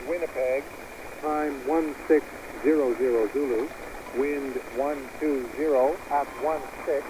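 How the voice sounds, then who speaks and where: A man reading a scripted aviation weather bulletin over shortwave radio in a clipped, formal monotone. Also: medium pitch, a little slow, pauses often. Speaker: military aviation weather broadcaster. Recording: noisy shortwave radio through a speaker.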